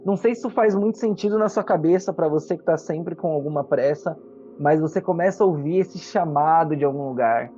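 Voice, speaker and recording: A man's voice: fairly high pitch, a little fast, dark tone, muffled recording. A man with a Brazilian accent narrating a tabletop fantasy game as game master, in an explanatory storytelling manner. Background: background music.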